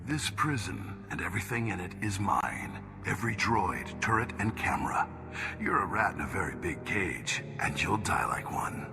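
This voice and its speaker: A man's voice: deep voice, very animated, normal speed, gravelly, full character voice. An actor playing a smug, theatrical military commander villain who taunts his prey in a video game cutscene. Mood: menacing, gloating. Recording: music behind the voice.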